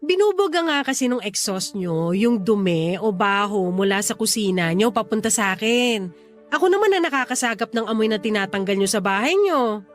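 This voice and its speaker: A woman's voice: medium pitch, big pitch swings, moderate pace, lightly acted. A young woman with a Filipino accent playing a considerate but insistent neighbour in a radio drama, with music underneath. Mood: insistent, exasperated.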